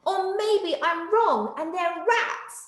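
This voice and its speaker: A woman voicing an excitable, easily spooked male monkey, full of wide-eyed exclamations, in a children's storybook. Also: very high-pitched, slow pace, echoey room, pitched above the performer's own voice, exaggerated voice. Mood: alarmed wonder.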